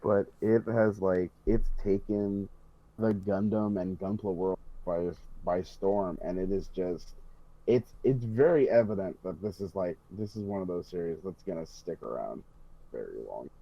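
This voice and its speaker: A man's voice: sits low, unhurried. A man chatting casually as a podcast panelist, rambling and rephrasing as he goes. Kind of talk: casual hobby chat. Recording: dull, close, dry lo-fi voice track.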